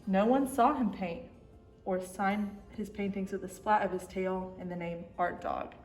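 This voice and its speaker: A woman reading a children's storybook aloud as expressive story time narration. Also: mid-range voice, measured pace, soft background music. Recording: echoey room.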